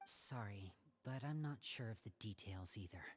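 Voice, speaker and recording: Male actor: composed young game lead, thoughtful, measured. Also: lowish voice, lively intonation, a little hiss or hum, natural voice. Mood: serious. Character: clever young man, a magician and an older brother. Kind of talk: video game dialogue.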